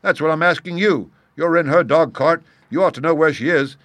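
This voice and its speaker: A man voicing a desperate bearded young man who shouts in raised, urgent bursts. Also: medium pitch, brisk, no echo, pitched above the performer's own voice, natural voice.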